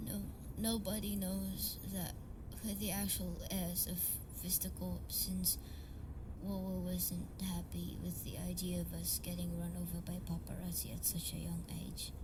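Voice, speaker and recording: A young woman playing an emotional, halting tsundere delinquent heiress in an amateur audio roleplay. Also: on the low side, level tone, noisy background, close to an everyday voice. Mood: hushed, weary. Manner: emotional, halting, swears freely. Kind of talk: amateur audio roleplay, intimate dramatic monologue.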